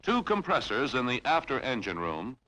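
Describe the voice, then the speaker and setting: A man reading scripted instructional narration in a formal, measured, clearly enunciated way, as in a training film. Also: medium pitch, huge pitch swings, sharp tone.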